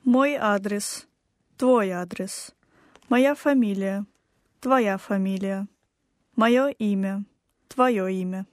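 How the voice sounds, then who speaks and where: A woman reading a textbook language lesson aloud, slowly and with careful, clear enunciation. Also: medium pitch, no room sound, studio quality. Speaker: language course reader.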